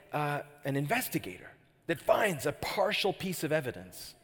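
Chatty pastor in a reverberant hall. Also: male voice, mid-range voice, a bit bright. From Canada. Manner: chatty, jokey, asks the crowd questions. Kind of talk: sermon.

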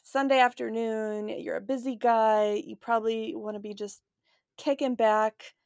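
A woman's voice: a little high, fairly even intonation, a little slow, creaky, darkish tone, clean tone, no echo, studio quality. A woman in her late forties hosting a podcast in a casual, conversational way. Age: late 40s. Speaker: podcast host.

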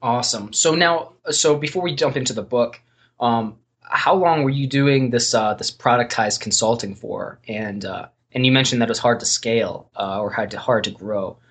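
A man's voice: medium pitch, moderate pace, a bit bright, slightly rough. A man hosting an interview over a call, talking loosely with plenty of "um"s and restarts as he introduces and questions his guest. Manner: loose and rambling, lots of "um" and self-corrections. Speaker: podcast host.

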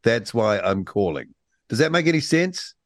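A man's voice: medium pitch, sing-song, moderate pace, no room sound. A radio host in a dry, close studio sound, bantering in a jokey way. He tells anecdotes and plays all the voices in them. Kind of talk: radio talk show.

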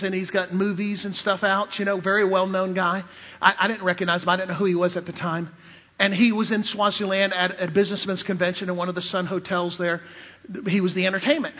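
A man preaching a sermon in a high, husky voice, spinning funny personal anecdotes and checking in with the congregation as he goes. The sound is dull and lo-fi, with long reverb trailing off the walls of a church hall. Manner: storytelling, calls out to the congregation. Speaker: preacher and missionary. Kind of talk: sermon with humorous personal stories.

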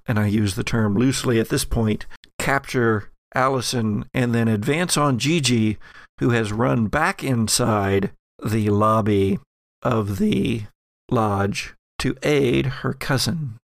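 Gravelly guy doing game master narration, theatrical pauses, really dry close sound. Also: mid-range voice, no echo. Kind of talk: narrating an actual-play horror roleplaying game.

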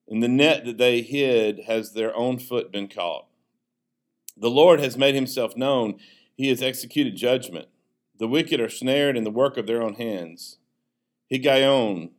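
American pastor, a man with measured delivery. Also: medium pitch. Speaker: associate pastor. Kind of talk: devotional Bible teaching with prayer and scripture reading.

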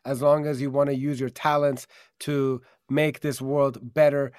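A man's voice: mid-range voice, flat delivery, measured pace, darkish tone, no room sound, clean studio sound. A man born in Germany and raised in America, hosting a live stream in an upbeat, earnest way with many fillers, over a video call. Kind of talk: casual promotional live-stream talk.

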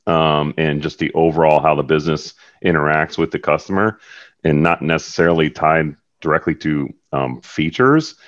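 A man's voice: a bass voice, moderate pace. A man on a discussion panel, talking in a loose, rambling way with many fillers. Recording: muffled lo-fi audio.

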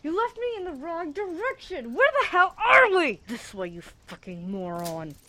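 A woman's voice: extremely high pitch, big pitch swings, normal speed, sharp tone, full character voice. A young woman playing a sarcastic, foul-mouthed, distrustful student in an amateur radio drama, with a blunt, casual manner. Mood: frustrated, angry.

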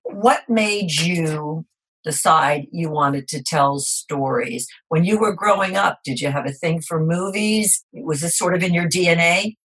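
A woman hosting an interview show, reading a measured, formal introduction and then asking questions over a remote connection. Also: fairly low pitch, a bit bright, slightly rough, low-quality recording. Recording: remote call audio.

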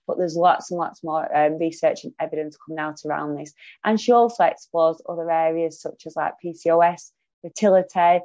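A woman's voice: fairly low pitch, no echo. Broad Lancashire woman hosting a podcast. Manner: enthusiastic, chatty, frequent ums. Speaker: podcast host. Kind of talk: podcast interview. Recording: dull lo-fi sound, dry, faint music.

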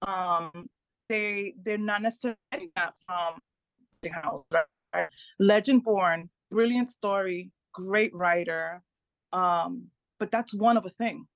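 A woman's voice: on the low side, measured pace, dry recording. Spanish-first-language Dominican American woman. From Dominican American, parents from the Caribbean. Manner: animated, repeats words, often asks "right?". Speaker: author guest. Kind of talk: casual interview talk.

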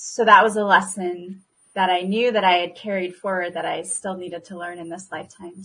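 A woman's voice: fairly low pitch, fairly bright tone, husky, rough recording. A woman guest talking casually about her own experiences, chatty and often laughing. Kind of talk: casual conversation.

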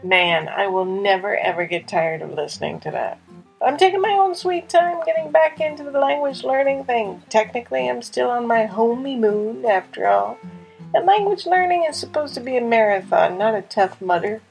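A woman's voice: a little high, expressive, normal speed, vocal fry, soft background music, low-quality recording. A woman podcast host introducing and narrating in a wry, conversational way. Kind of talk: scripted podcast narration and interviewing.

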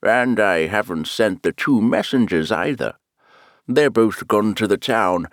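A man voicing a fretful, fussy old king in an audiobook, speaking slowly with a complaining air. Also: on the low side, animated, dry recording, studio quality. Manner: fretful, slow and drawn out. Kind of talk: audiobook character reading.

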